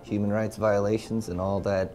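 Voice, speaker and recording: A man's voice: lowish voice, flat delivery, moderate pace, warm tone, faint music behind, close to an everyday voice. An actor playing an arrogant, blunt businessman investor who challenges people in a film game. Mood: arrogant, hostile. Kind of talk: screen acting.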